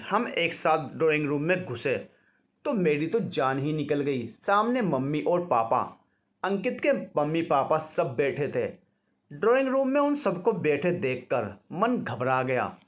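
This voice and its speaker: A man narrating a scripted first-person romantic story. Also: a little high.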